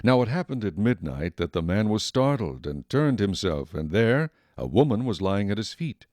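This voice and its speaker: A man narrates scripture in a measured, steady way. His voice is low, and the recording is dry and close, with no room sound. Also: dry recording.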